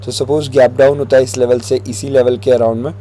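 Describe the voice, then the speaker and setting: Hoarse man explaining charts. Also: medium pitch, a little fast, slight background noise. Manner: relaxed, mixing English phrases, frequent "theek hai". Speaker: stock market analyst. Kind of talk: chart analysis tutorial.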